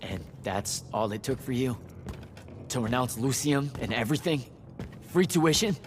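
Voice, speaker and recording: An actor playing an embittered, impulsive young battlemage hero in a video game, emotional and sardonic in a casual way. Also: male voice, medium pitch, animated, normal speed, natural voice. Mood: sarcastic, irritated. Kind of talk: cinematic game acting. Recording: noisy game battle and ambience.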